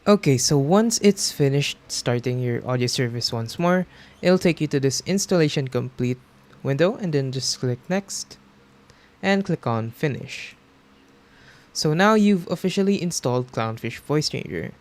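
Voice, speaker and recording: Man narrating a casual software tutorial. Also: a little high. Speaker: tutorial host.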